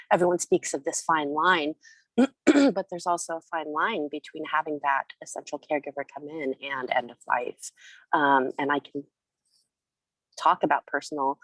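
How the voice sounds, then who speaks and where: A low-voiced woman talks hesitantly, stopping and restarting as she searches for words. She is a resident of a care home, and her voice is close and dry. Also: no room sound, rough recording. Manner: hesitant, halting, many restarts. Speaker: long-term care resident. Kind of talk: speaking up in an online meeting. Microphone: video call.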